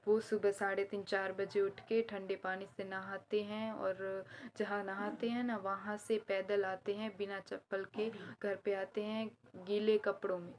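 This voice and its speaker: A woman's voice: medium pitch, level tone, a little slow, hardly any pauses, a little mellow, a little hiss or hum. Chatty young woman with a sore throat. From Gujarat. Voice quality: slightly hoarse. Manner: chatty, rambling, explains family customs. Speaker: vlogger. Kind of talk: casual vlog chat. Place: indoors at home, inner room.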